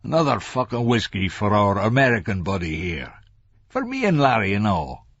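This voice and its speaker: A man's voice: on the low side, a little slow, creaky, a bit bright, slightly rough, no room sound, muffled recording, voice pushed up, exaggerated voice. A man voicing a menacing, foul-mouthed Scottish tough in an audiobook, pushy and scornful. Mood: scornful, insistent. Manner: pushy, scornful, coldly insistent.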